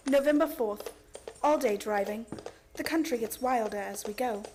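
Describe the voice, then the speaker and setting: An actress playing an earnest heroine in a vampire radio play, speaking dramatically. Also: female voice, fairly high pitch, moderate pace, darkish tone, slight background noise, lightly acted. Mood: tense, resolute.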